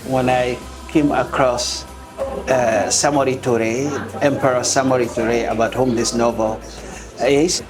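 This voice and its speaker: A man from Liberia, speaking in a measured, reflective way with many pauses and fillers as an interviewed author. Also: mid-range voice, fairly even intonation. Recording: music under voice. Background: music bed.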